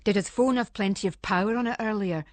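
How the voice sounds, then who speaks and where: A woman's voice: medium pitch, fast, no echo, close to an everyday voice. A woman playing an anxious Scottish wife in a radio monologue, talking in colloquial Scots as if to a friend. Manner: colloquial, conversational, addressing an unseen friend. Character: anxious, chatty Scottish wife fretting over missing husband. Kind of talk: dramatic monologue in Scots dialect, radio story reading.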